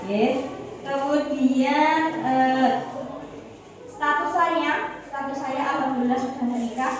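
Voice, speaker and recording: A woman's voice: high voice, steady tone, takes it slowly. A woman in her thirties from Wonogiri with a Javanese accent, giving a chatty health talk to elderly people and often asking them questions. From Wonogiri, Central Java, Indonesia. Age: 30s. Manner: chatty, asks the audience questions. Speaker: health educator at a community health center. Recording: echoey hall, far from mic, crowd behind.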